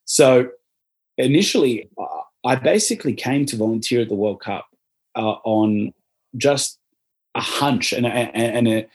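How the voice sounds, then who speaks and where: A man's voice: mid-range voice, unhurried, pauses often, slightly rough. An Australian man talking casually in an interview, upbeat and rambling, with many fillers and restarts. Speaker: interviewee, former volunteer.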